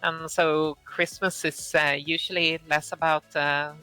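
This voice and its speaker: Bright-voiced Swedish woman, low and hesitant. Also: little pitch movement, a little slow, clean tone, faint music behind, low-quality recording. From Sweden. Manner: hesitant, many filler sounds. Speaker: podcast guest, game master. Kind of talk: casual podcast chat.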